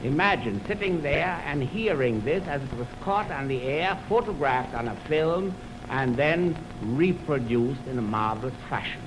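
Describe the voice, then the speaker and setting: A man's voice: mid-range voice, lively intonation, normal speed. A man giving a formal, anecdotal talk as host of a music program, in the style of 1920s radio. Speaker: orchestra conductor hosting a radio music program. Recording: noisy 1929 radio aircheck from optical film.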